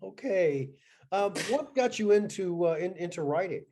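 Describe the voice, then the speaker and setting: Mellow-voiced man hosting, halting. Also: high voice, lively intonation, slight background noise. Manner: halting, many restarts. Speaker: interview host. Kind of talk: conversational interview.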